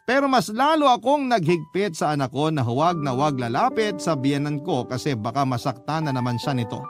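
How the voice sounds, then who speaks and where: A man's voice: a little high, natural voice. A Filipino man reading a troubled young mother's letter as dramatic first-person radio narration. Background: music bed.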